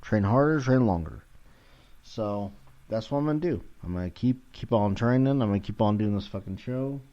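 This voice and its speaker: A man's voice: fairly low pitch, normal speed, dark tone, muffled recording. A man around forty rambling casually as a solo podcast host, irreverent, sweary and self-deprecating, recorded at home in his kitchen.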